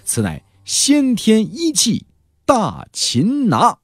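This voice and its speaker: A man's voice: high-pitched, huge pitch swings, takes it slowly, slightly rough, dry recording, soft background music. A man narrating a fantasy novel as a dramatic audiobook, theatrical and emphatic, drawing out key words.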